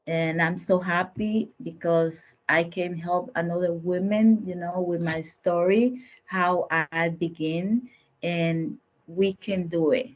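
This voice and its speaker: Slow-talking woman with a Dominican Spanish accent. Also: on the low side, fairly even intonation. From Dominican Republic. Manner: halting, simple non-native English. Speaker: small business owner, event planner. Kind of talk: roundtable remarks. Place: home, on a video call. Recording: muffled video call audio.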